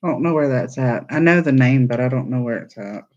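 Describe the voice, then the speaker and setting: Man, easygoing live-stream host, mid-pitched, chatty, slightly muffled lo-fi stream audio. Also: expressive, a little fast, darkish tone. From born in Texas, raised in Alabama. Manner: chatty and easygoing, laughs easily. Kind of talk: casual live-stream chat, reading viewer comments.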